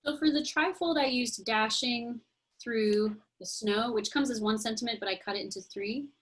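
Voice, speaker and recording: A woman's voice: fairly high pitch, normal speed. A woman teaching a casual online craft class over a video call, explaining steps in a chatty way. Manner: chatty, friendly explaining with fillers.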